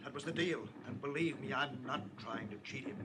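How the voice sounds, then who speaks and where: A man's voice: medium pitch, brisk, natural voice. Actor as pleading crooked businessman, noisy radio. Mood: pleading, defensive. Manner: urgent, placating. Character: sweating, pleading crooked businessman held at gunpoint. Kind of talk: 1950s radio drama acting. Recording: noisy old-time radio recording.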